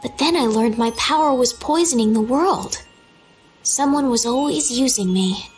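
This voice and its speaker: Gentle high-voiced young princess, acted by a woman. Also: normal speed, slight background noise, lightly acted. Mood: reflective, earnest. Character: gentle, earnest young princess in a fantasy game. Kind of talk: animated game voice acting.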